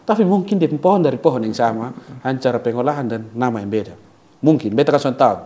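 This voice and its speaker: A man's voice: mid-range voice, fast talker. A young man from Soe with a Timorese Soe accent, chatting casually and jokingly as an interview guest. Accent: Soe, Timor (Kupang Malay). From Soe, South Central Timor, Indonesia. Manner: relaxed, rambling, joking, regional slang.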